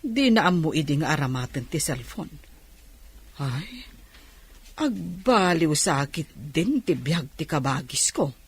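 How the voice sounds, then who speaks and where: A woman's voice: low-pitched, big pitch swings, slow, breathy edge, full character voice. A woman with an Ilocano accent playing a stern, grudging, envious boss in a radio drama. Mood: prying, envious.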